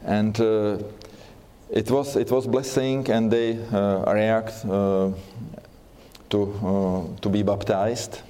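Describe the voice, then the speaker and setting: Man, Czech accent, flat low delivery, slow, halting with fillers. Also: clean tone. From Czech Republic. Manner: halting, many fillers and restarts. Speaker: seminar speaker. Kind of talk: church seminar talk.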